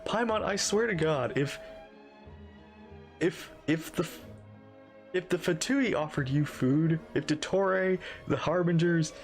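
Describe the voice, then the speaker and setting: A man reacting casually to a game as a streamer, expressive and joking. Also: fairly high pitch. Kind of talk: casual live reaction commentary. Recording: game music behind the voice.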